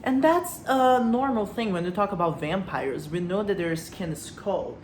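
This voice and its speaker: A woman's voice: mid-range voice, lively intonation, moderate pace. A woman giving literary commentary as a video host, speaking reflectively and posing rhetorical questions to her listeners. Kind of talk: literary commentary with read-out quotes. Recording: roomy echo, faint background noise.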